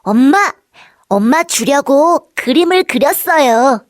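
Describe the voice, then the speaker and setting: An excitable little storybook boy, played by a woman, with a very high childlike voice. The delivery is wide-eyed and exclaiming, at an unhurried pace, and the sound is close and dry. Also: no room sound. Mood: eager, curious. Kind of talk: children's audiobook character acting.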